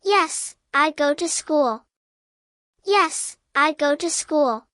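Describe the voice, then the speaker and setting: A woman with a distinctly high voice reads a beginner language drill. She speaks slowly and carefully in short, evenly measured phrases, repeating each one. The sound is dry, with no room echo. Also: lively intonation, bright, forceful tone. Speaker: English teacher narrator.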